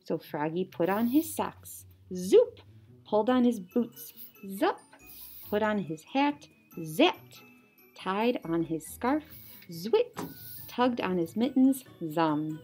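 A young woman reading a children's story like a teacher, animated, with playful sound words. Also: mid-range voice, slow pace, breathy edge, faint music behind. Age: young adult. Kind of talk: children's story read-aloud. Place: small indoor classroom or office.

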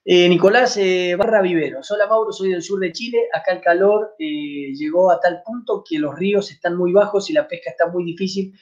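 A man's voice: high voice, normal speed, hardly any pauses. Chatty Argentine man on dull webcam. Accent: Argentine Spanish. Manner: chatty, many fillers and restarts. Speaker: fly fishing channel host. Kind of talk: live stream Q&A. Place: home room, indoors. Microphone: webcam at desk.

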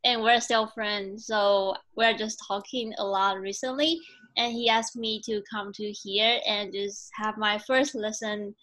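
Slow-speaking Mandarin-native young woman. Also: mid-range voice, fairly even intonation, bright, forceful tone, low-quality recording. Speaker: guest teacher. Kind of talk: casual self-introduction to a class.

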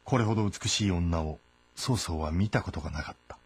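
A man giving measured, solemn scripted narration of a historical tale. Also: low voice, husky. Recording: narration over background music.

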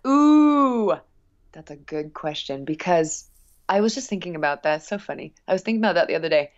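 A woman's voice: lowish voice, expressive, a little fast, highs cut off. A woman guest chatting casually in an interview about her work as a musician, rambling expressively with frequent asides.

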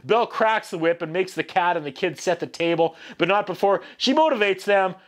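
Male vlog host, 40s, high voice, sarcastic and exasperated comedic review delivery, animated. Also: fairly quick. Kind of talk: comedic scripted-style review rant to camera. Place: small indoor room.

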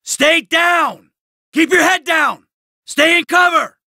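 A man's voice: extremely high pitch, slow, vocal fry, pushed and bright, no room sound, studio quality, full character voice. A man voicing a cynical, brash middle-aged American criminal in a video game, sarcastic and foul-mouthed. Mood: shouting, alarmed. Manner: sardonic, brash, swearing.

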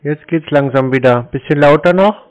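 Deadpan young man on a phone line. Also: a little high. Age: 20s. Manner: deadpan, terse, deliberately obtuse. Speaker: scambaiter taking scam calls. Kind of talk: prank phone conversation. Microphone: phone call. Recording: narrow-band, dull phone-call audio.

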